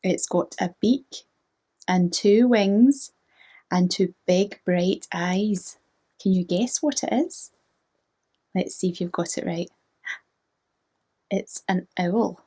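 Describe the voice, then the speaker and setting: A woman narrating a children's storybook in a gentle manner, inviting young listeners to join in. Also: medium pitch, slow pace, long pauses, slightly rough.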